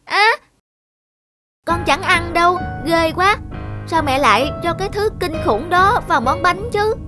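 A woman voicing an eager, easily upset young girl in a cartoon, with a whiny, expressive, childlike delivery. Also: very high voice, measured pace. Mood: disgusted. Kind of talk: animated cartoon dubbing. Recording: loud music behind the voice.

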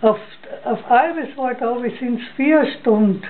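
Casting: older woman, Styrian Austrian accent, fairly high voice, unhurried amateur poetry recital with halting repeats, thin muffled home recording. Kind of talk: dialect poem recitation from memory. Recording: dull lo-fi home recording, noisy.

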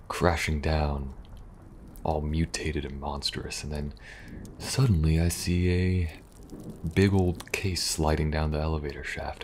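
A man playing a weary, kind young government agent in a soft-spoken, halting ASMR roleplay. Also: rumbling low, gravelly, a little hiss or hum. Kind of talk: intimate ASMR audio roleplay.

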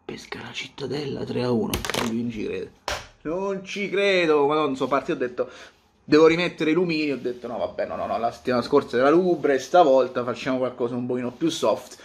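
Animated man vlogging casually about football. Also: medium pitch, a little fast, slight background noise. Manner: animated, theatrical, repeats phrases for emphasis. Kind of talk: casual fan vlog to camera. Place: small home room, indoors.

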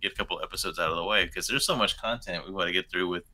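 Podcast guest, male, 30s, low pitch, sharply bright tone, relaxed conversational delivery. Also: rapid-fire. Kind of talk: casual chat about anime and manga. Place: home room with bookshelves, video call.

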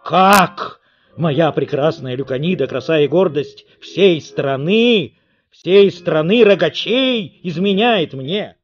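A man's voice: on the high side, slow, dull audio, voice pushed up. A man voicing a proud, jealous beetle king in a pompous, indignant, declamatory manner for a storybook tale. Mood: outraged disbelief.

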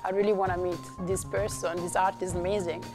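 A woman's voice: lowish voice, flat delivery, over music. Enthusiastic woman. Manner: enthusiastic, halting. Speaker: studio assistant. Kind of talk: documentary interview.

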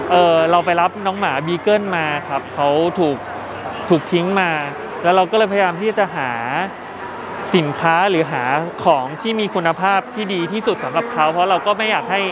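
A young man with a rather high voice talks through his wares at an indoor expo booth. He is friendly and explanatory, pausing often on fillers. The camera mic picks him up close, with a noisy hall behind him. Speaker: brand founder presenting products. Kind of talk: casual product pitch. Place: trade show booth, indoors.

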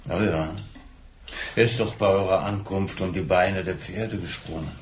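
A man's voice: sits low, fairly even intonation, moderate pace, close to an everyday voice. An actor playing a stable hand in his late fifties who answers plainly and a little hesitantly. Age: 50s. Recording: roomy with faint noise.

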